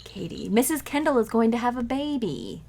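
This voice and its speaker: Woman playing a breezy scatterbrained housewife, higher voice. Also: very animated, normal speed, gravelly, darkish tone, heavily acted. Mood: breezy, innocent. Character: Liz, scatterbrained cheerful young housewife, breezily evasive. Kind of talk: 1940s radio comedy acting.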